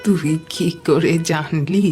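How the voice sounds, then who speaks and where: Man voicing a slow, gentle elderly grandmother. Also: a little high, soft background music. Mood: mild, questioning. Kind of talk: Bengali cartoon voice acting.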